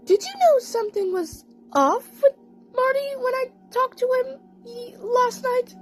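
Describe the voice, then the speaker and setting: A woman's voice: very high voice, unhurried, faint music behind, voice pushed up. A woman voicing a girlish, dramatic and bashful female mermaid cat in a cartoon story. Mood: bashful, worried.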